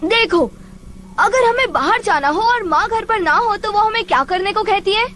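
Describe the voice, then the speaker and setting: A woman voicing a mischievous, excitable young child creature in an animated film dub. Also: extremely high pitch, moderate pace, a little hiss or hum. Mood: eager, scheming.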